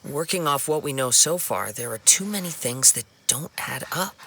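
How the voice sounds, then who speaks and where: A man playing a polite, composed young investigator in a video game, speaking formally and deliberately as he reasons. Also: mid-range voice, expressive, bright, forceful tone, a little hiss or hum. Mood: reasoning.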